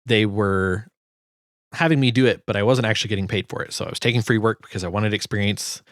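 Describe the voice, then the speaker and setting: Low-pitched man, casual explainer. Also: steady tone, fast talker, a bit bright, dry recording, studio quality. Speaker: sound designer presenting own project.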